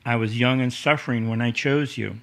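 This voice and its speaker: A man's voice: medium pitch, fairly even intonation, fairly quick, gravelly, natural voice. A man acting in a radio drama as a burdened ringmaster king, solemn and confiding, speaking like a father to his heir. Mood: tender, weary.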